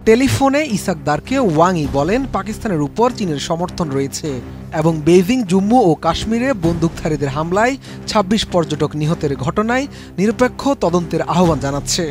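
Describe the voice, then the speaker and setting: A man's voice: sits high, lively intonation, brisk. A man with a Bangladeshi accent, a news reporter reading a scripted voice-over report. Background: background music.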